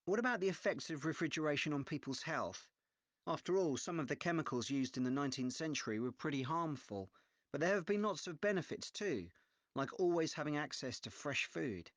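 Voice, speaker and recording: A man playing an easygoing, agreeable young student in a measured, understated scripted dialogue. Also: fairly high pitch, expressive, fairly bright tone, no echo. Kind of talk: scripted exam listening dialogue.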